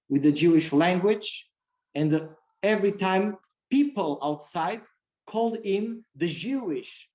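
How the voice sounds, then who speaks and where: Male guest lecturer, very measured pace, halting second-language English. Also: on the high side, darkish tone, highs cut off. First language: Portuguese. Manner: halting, non-native English with repeated phrases. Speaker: guest speaker. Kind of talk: religious community lecture.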